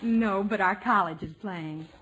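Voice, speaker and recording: A woman's voice: mid-range voice, unhurried, a bit bright, poor audio. A woman playing an affectionate, polite young married niece in 1950s radio comedy, on an old radio recording. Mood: friendly, hurried.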